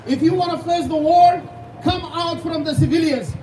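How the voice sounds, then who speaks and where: A man in his thirties with a Sudanese accent, a pastor giving a fervent rally speech full of rhetorical questions and sometimes shouting. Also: extremely high pitch, moderate pace. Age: 30s. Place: outdoor rally. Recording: distant, echoey outdoor sound with loud background noise.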